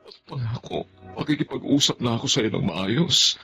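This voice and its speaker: A remorseful estranged father in a radio drama. His medium-pitched voice is sincere and apologetic, with faint music behind it. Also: animated, normal speed, creaky, bright, forceful tone. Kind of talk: Filipino radio drama acting.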